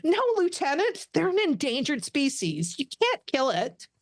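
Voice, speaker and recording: An earnest young alien lieutenant, acted out by a woman, pitched high and emphatic. She pleads excitably, as in a sci-fi cartoon script, with lively swings and a dry, close sound. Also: measured pace, no echo, full character voice. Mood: alarmed. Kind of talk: amateur table read of animated sci-fi script.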